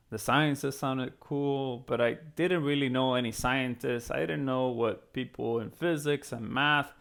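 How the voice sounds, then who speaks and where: Guy in his 30s, creaky mid-pitched voice, explaining stuff to students in an encouraging chatty way. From Venezuela (Caracas). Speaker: physics professor and program co-founder. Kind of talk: explanatory talk to students, conversational.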